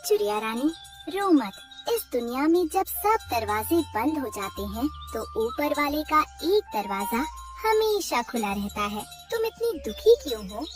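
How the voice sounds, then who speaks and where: A woman voicing an old, divine mother cow in a cartoon, gentle and solemn. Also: sits high, big pitch swings, a little slow, distant mic, music in the background. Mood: consoling.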